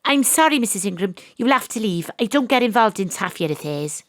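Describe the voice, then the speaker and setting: Female private eye played by an actress, Welsh accent, deadpan noir parody, sceptical, very dry close sound. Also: mid-range voice, fairly quick, no room sound, lightly acted. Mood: probing, incredulous. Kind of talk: noir-parody audio drama narration and dialogue.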